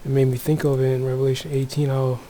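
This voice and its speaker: Brief: low, mellow-voiced man with a Toronto accent, flat delivery, hushed home chat with faint background noise. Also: brisk. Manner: speaks softly, many restarts and fillers. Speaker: religious video maker. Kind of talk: casual religious commentary, reading news aloud. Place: home room.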